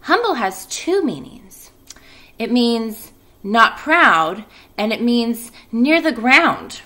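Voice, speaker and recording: A woman voicing a gentle, wise female spider in a storybook, speaking deliberately and instructively. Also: fairly high pitch, big pitch swings, voice pushed up, full character voice. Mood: calm, pleased.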